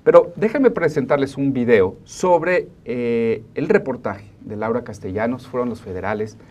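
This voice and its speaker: Mexican guy in his 40s hosting a studio talk, medium pitch, rambles off on tangents, very colloquial. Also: animated, moderate pace, a little mellow. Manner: digressive, colloquial. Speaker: talk show host. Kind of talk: informal studio discussion. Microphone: lapel mic.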